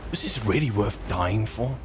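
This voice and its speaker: A man's voice: lowish voice, expressive, moderate pace, background noise. A man hosting a gaming video, improvising roleplay commentary in a wry, musing and rambling manner.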